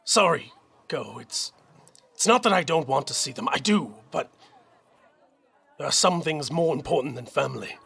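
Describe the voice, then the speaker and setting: A man playing a dutiful young army captain in an audio drama, earnest and measured. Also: a little high, expressive, creaky, a bit bright, breathy edge, a faint crowd, full character voice. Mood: firm, resolute.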